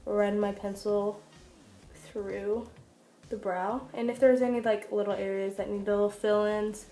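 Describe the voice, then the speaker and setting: A woman's voice: mid-range voice, fairly even intonation, a little slow, mellow. A young woman with a congested, nasal voice from a cold, chatting casually and self-deprecatingly through a makeup tutorial. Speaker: beauty vlogger, makeup artist. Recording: echoey, roomy sound.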